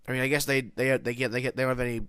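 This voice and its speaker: A man's voice: medium pitch, level tone, rapid-fire, a bit bright, smooth, no room sound. Congested, nasal-voiced man reviewing an episode. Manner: rambling, many restarts and self-corrections. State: congested from hay fever. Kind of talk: casual spoken review.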